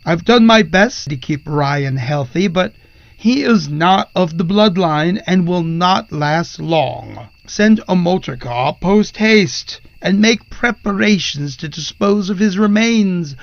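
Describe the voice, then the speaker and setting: A sinister, courtly spirit from a horror audio drama, speaks in formal, archaic phrases with theatrical menace. The voice is rather high and gravelly, and it gloats. The sound is dry and somewhat muffled. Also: male voice, lively intonation, takes it slowly, exaggerated voice. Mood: cold, commanding.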